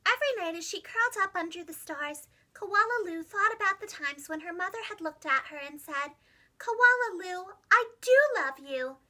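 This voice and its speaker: Woman, children's story narrator, very high bright voice, lively swooping intonation, expressive, dramatic. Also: brisk, hardly any pauses. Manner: animated, dramatic, gentle enunciation for young children. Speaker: preschool teacher reading stories aloud.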